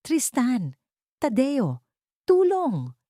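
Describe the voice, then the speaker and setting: A woman acts out a cruel, scheming older woman in a dramatic storybook. Her pitch moves widely between venom and false tearful pleading. The sound is dry and close. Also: wildly swooping pitch, extremely slow, warm tone, dry recording, exaggerated voice. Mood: feigned panic.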